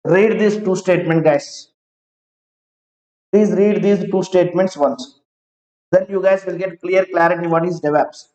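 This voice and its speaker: A man teaching an online class, talking slowly, repeating each phrase and checking that students follow. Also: high-pitched, fairly even intonation, darkish tone. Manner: slow, repeats each phrase several times; asks students to confirm they follow. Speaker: IT trainer. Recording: roomy, dull lo-fi online class audio.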